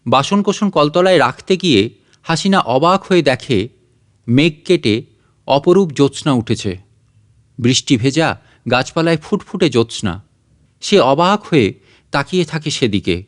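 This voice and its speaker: Higher-voiced man narrating a story. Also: lively intonation, normal speed, long pauses. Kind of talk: scripted audiobook narration.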